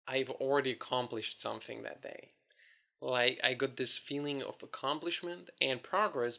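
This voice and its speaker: Young guy vlogging, bright forward voice, chatty and easygoing, lots of uhs. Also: mid-range voice. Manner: relaxed, chatty, many fillers and restarts. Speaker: language learning vlogger. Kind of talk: casual talk to camera.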